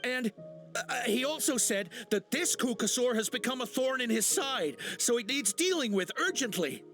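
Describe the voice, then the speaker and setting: A jittery captured smuggler with a high, strained voice, stumbling over his words and piling up nervous uhs. Faint game music runs underneath. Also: expressive, moderate pace, a bit bright, exaggerated voice. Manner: stammering, full of uh and nervous restarts. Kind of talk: game cutscene voice acting.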